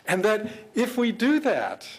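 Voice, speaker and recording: An older man giving a speech in a fairly high voice. He speaks earnestly, stops often and repeats words before going on. The remarks sound partly prepared and partly worked out as he talks. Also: wildly swooping pitch. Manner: halting, often repeats words while searching for phrasing; earnest, measured. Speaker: government official speaking at an interfaith event.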